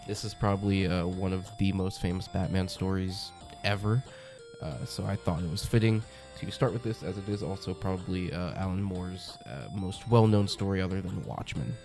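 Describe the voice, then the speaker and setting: A man's voice: low voice, moderate pace, over music. A young man hosting a comic review channel, congested from a cold, talking in a chatty, enthusiastic way with lots of ums and uhs. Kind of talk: casual review monologue.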